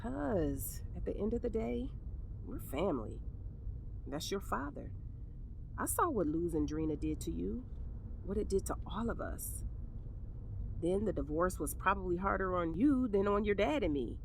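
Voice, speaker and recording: A woman playing a caring but firm middle-aged mother in an audio drama, speaking in a measured, admonishing, motherly way. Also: medium pitch, lively intonation, creaky, a little hiss or hum. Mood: tender, earnest. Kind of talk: naturalistic audio drama dialogue.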